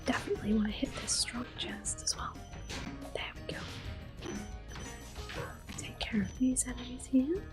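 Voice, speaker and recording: A woman doing ASMR game commentary in a soft, breathy whisper, gentle and unhurried. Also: fairly high pitch, lively intonation, over music. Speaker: ASMR gameplay host.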